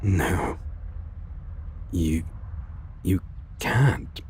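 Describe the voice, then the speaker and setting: A man playing a brooding, world-weary male vampire and former hunter, speaking tenderly in halting phrases with soft, breathy endearments in an intimate romantic audio roleplay. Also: deep voice, expressive, slight background noise, heavily acted. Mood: aching, yearning.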